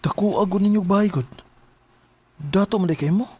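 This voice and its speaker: A man's voice: high-pitched, moderate pace, heavy background noise, lightly acted. A man playing a modest, dutiful young student with a Cebuano accent in a Philippine radio drama. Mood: awkward, friendly.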